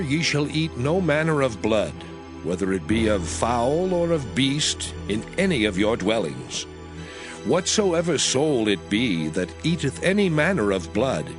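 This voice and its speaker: A man playing God as a solemn, commanding lawgiver in a dramatized scripture reading, speaking in measured, declamatory phrases. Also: medium pitch.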